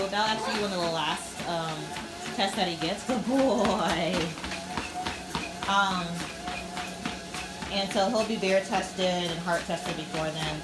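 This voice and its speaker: Chatty woman, roomy echo, loud music. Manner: chatty, rambling, coaxing encouragement to a dog in a high sing-song voice. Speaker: dog owner and trainer. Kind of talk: casual vlog chat while training a dog.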